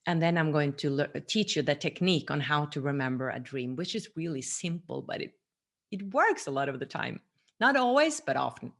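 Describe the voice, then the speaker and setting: Woman in her 40s doing a chatty teaching vlog to cam, bit low-pitched, earnest, talks w her hands, small room at home. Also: lively intonation, moderate pace. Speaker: vlogger. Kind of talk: personal teaching monologue to camera.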